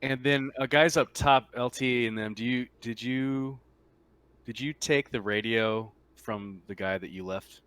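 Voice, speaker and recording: A man's voice: mid-range voice, unhurried, fairly bright tone, smooth, dry recording. A man running a tabletop roleplaying game as game master, narrating informally and off the cuff with some hesitation.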